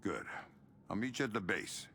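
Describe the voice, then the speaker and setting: An actor playing a calm, disciplined veteran covert operative in a video game, giving terse, measured tactical talk. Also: male voice, lowish voice, very noisy. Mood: calm, focused. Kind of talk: cinematic game acting.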